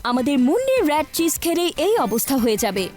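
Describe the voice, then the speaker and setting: Bubbly cartoon ad presenter, high and sing-song, music behind. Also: female voice, wildly swooping pitch, quick pace, a little mellow. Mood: bright selling. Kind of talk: advertising pitch in cartoon dub.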